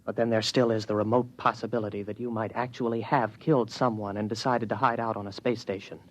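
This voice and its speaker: An actor playing a weary, wry space station officer, musing and detached in 1950s radio drama style. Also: male voice, fairly low pitch, level tone, fast, lightly acted. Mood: sardonic, probing. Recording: vintage 1950s radio broadcast.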